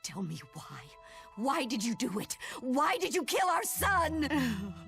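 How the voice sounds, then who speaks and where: A woman's voice: high voice, big pitch swings, takes it slowly, vocal fry, fairly bright tone, soft background music, exaggerated voice. An actress playing an anguished, crying mother grieving her murdered son in a video game. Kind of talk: English video game dub acting.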